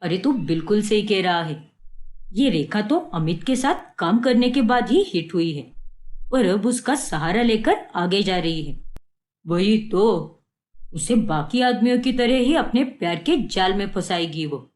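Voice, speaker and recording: A woman's voice: medium pitch, animated, measured pace, a little mellow, close to an everyday voice. A woman voicing a spiteful, gossiping male film crew member in a dramatic story, with a sneering manner. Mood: scornful.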